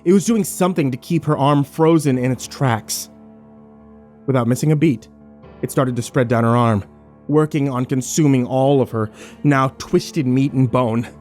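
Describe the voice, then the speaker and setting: A man's voice: mid-range voice, moderate pace, darkish tone, natural voice. A man playing a shaken, bitter survivor who tells his own story in a grim horror audio drama. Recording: music bed under the voice.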